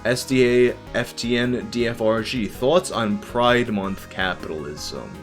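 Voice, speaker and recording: A man's voice: mid-range voice, unhurried, faint music behind. A young man answering viewer questions on a livestream, talking in a candid, rambling, profane way. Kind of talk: casual livestream Q&A.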